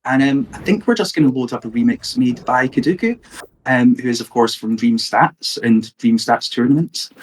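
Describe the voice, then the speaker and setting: A man's voice: mid-range voice, normal speed, low-quality recording. A man co-hosting a livestream, explaining community games in a friendly, casual way with some fillers. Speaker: livestream co-host. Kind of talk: casual chat, commentary over gameplay.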